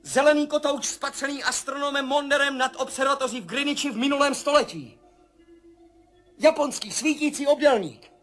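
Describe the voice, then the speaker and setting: An actor playing a man adrift in a dreamlike vision in a 1970s radio play, with a husky voice and an urgent, awed way of talking. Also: very high voice, fairly bright tone, soft background music. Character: astronaut Tom, adult man, anxious and searching. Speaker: narrating lead character. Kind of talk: 1970s radio drama acting.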